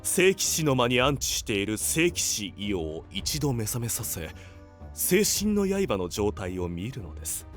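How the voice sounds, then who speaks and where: A man's voice: medium pitch, sing-song, measured pace, faint music behind. A man playing a calculating fantasy council official who speaks with polite, insinuating formality. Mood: polite, urging. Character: smooth-tongued, calculating male council official in fantasy drama. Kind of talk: dramatic scripted voice acting.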